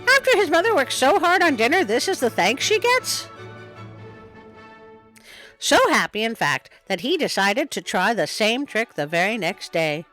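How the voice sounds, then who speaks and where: A woman reading a children's story aloud in a playful, expressive way, with chatty asides. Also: on the high side, normal speed, soft background music. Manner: playful, expressive, self-interrupting. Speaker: storytime host and reader.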